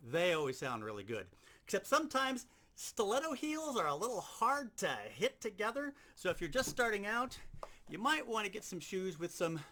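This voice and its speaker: A man with a high-pitched, very animated voice gives a hands-on lesson. He explains each step informally as an instructor would. Loud noise and clatter sit behind his voice. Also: normal speed. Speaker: sound effects instructor. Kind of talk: instructional demonstration talk.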